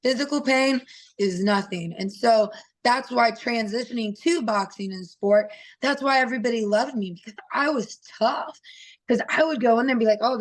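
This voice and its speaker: A woman in her thirties with a raspy voice, a fighter telling her story in an interview in a candid, earnest way with many fillers. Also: fairly high pitch, poor audio. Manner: open and earnest, with frequent fillers. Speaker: interview guest, professional fighter.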